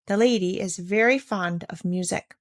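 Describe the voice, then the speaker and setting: A woman's voice: medium pitch, moderate pace, close to an everyday voice. A woman voicing a discreet, obliging manservant in a storybook, matter-of-fact as he gives word. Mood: conspiratorial.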